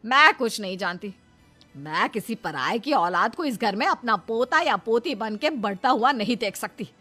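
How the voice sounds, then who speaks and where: A woman's voice: on the high side, expressive, normal speed, pushed and bright, soft background music. A woman voicing a domineering, stubborn older mother-in-law who scolds and commands in a family cartoon. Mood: scolding, angry. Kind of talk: Hindi family cartoon acting.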